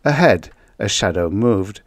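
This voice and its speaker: Low-pitched man narrating vintage science fiction. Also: lively intonation, unhurried, no room sound, studio quality. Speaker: audiobook narrator.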